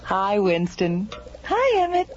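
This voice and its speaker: Expressive space station doctor, music behind. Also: female voice, mid-range voice, slow. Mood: composed, friendly. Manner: informal, in command. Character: composed, friendly female doctor running a space station. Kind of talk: radio drama acting. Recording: dull radio recording with music behind.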